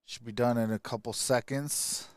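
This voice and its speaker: A man's voice: medium pitch, steady tone, normal speed, dry recording, studio quality. A man doing casual live-stream sports commentary as host, talking informally to his viewers. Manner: informal, addresses viewers as y'all.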